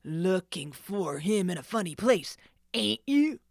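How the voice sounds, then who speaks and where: A woman's voice: medium pitch, animated, measured pace, no echo, studio quality. A woman voicing a snarling, sneering male thief who leads a gang of crooks, threatening and hard. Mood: menacing.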